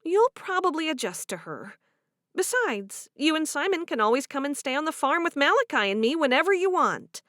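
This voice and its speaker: Woman voicing a sweet young gal calming her friend, high lively voice. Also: moderate pace, dry recording, clean studio sound. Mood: reassuring. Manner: gentle, reassuring. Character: kind, comforting young woman.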